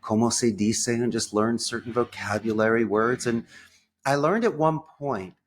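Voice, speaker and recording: An American man speaking as an interview guest, telling personal stories in an enthusiastic, expressive way with colorful phrasing. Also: medium pitch, normal speed, slight background noise. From United States. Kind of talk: conversational personal storytelling.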